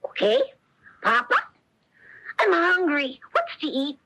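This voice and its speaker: A woman's voice: sits high, big pitch swings, slow, bright, forceful tone, full character voice, low-quality recording. A woman playing a mischievous, impudent wooden marionette boy in a 1970s radio drama. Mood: eager, brash. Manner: brash, impudent.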